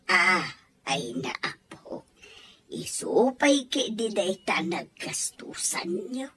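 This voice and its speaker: A woman playing a gentle, concerned elderly mother and grandmother in an audio story drama, with a husky, breathy voice. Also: mid-range voice, sing-song, takes it slowly, full character voice. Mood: fond, amused.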